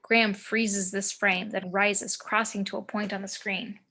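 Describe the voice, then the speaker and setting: A woman's voice: mid-range voice, little pitch movement, measured pace, sharp tone. A woman reading screenplay action descriptions as narrator of a table read over a video call. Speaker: narrator reading stage directions.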